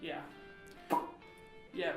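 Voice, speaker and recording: A man with a lisp co-hosting a podcast in casual chat, rambling and jokey with quick tangents. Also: fairly bright tone, echoey room, faint music behind. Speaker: podcast co-host.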